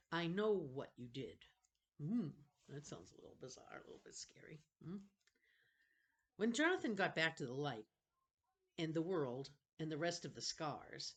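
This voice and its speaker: A woman's voice: deep voice, husky. A woman reading a children's novel aloud expressively, with casual asides and the odd self-correction. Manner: expressive, stops to correct misreadings.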